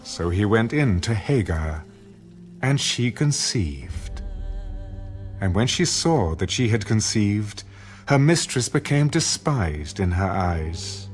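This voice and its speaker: Slow male scripture narrator over music. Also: lowish voice, sing-song. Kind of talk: solemn dramatized scripture narration.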